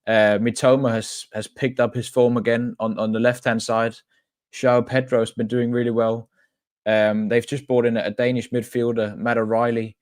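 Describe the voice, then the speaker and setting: A man's voice: mid-range voice, little pitch movement, moderate pace, a little mellow, dry recording, clean studio sound. A man co-hosting a podcast who talks casually and hesitantly, with many ums and restarts. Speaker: podcast co-host. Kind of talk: casual chat.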